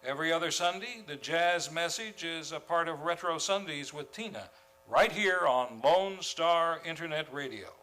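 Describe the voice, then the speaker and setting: Casting: male series host, theatrical old-time radio announcer, higher-pitched and very bright. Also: expressive, moderate pace. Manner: theatrical, grandly formal. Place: theater stage, before a live audience.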